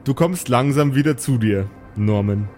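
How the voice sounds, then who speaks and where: A man's voice: medium pitch, moderate pace, a little mellow, faint music behind. A man narrating an improvised tabletop audio play as game master.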